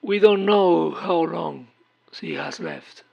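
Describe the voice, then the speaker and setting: A man playing a slow, high-pitched, creaky hospital caller. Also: muffled recording, heavily acted. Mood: grave, formal. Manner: measured, formal, deliberate. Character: solemn hospital caller delivering urgent, grave news. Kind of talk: horror audio drama.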